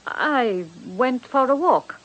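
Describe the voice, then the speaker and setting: Devout, bitter old nanny. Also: female voice, sits high, animated, slow pace, heavily acted, low-quality recording. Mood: confiding. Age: older. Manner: fervent, righteous, old-fashioned servant's deference. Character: devout, bitter, grieving elderly former nanny turned housekeeper. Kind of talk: radio drama acting.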